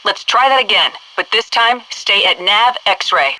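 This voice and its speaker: A stern female military headquarters instructor for a video game. Her voice is very bright and forceful, with brisk, clipped commands delivered like a mission briefing. There is faint noise on the radio-like transmission. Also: medium pitch, animated, rough recording. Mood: stern, impatient. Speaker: mission control voice giving tutorial orders.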